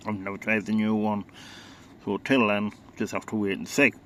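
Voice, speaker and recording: A man's voice: on the low side, little pitch movement, normal speed, fairly bright tone, a little hiss or hum. A man informally demonstrating radio equipment in a casual, hesitant, matter-of-fact way. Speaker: radio enthusiast presenting equipment.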